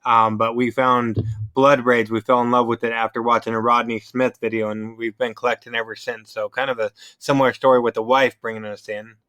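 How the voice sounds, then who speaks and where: Bright-voiced man hosting a casual podcast. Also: medium pitch, little pitch movement, brisk. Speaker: podcast host. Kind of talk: casual interview chat.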